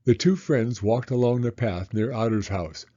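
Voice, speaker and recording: A man reading a children's story in an expressive, deliberate narrating style with theatrical emphasis. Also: medium pitch, dull audio.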